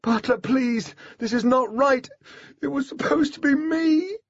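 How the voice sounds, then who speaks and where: A man's voice: very high-pitched, unhurried, dry recording, muffled recording, voice pushed up, exaggerated voice. A man voicing a fierce, loyal female fairy in an audiobook, pleading and full of emotion. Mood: desperate pleading. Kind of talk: audiobook character voice.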